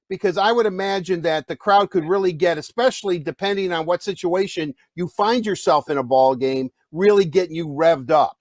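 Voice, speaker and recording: A man with a big, loud voice hosting a podcast, asking long, rambling questions in casual chat. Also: high voice, no room sound, studio quality. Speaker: podcast host interviewing a player.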